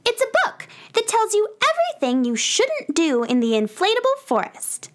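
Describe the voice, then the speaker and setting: Woman as plucky little cowgirl, very high, lively, polite, children's radio play. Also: moderate pace, full character voice. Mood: cheerful, matter-of-fact. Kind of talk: children's audio drama acting.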